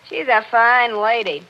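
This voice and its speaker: An actress in a 1940s radio mystery playing a cheeky, scornful household maid with a pert, mocking manner. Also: female voice, a little high. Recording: muffled 1940s radio recording.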